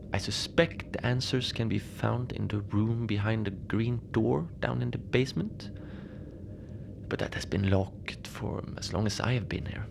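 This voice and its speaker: A man playing a mild, lonely Antarctic station manager in a cozy horror audio drama, speaking in a hesitant, understated deadpan as he logs his shift. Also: lowish voice, vocal fry. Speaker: narrator of a fiction podcast. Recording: noisy ambient bed behind the voice.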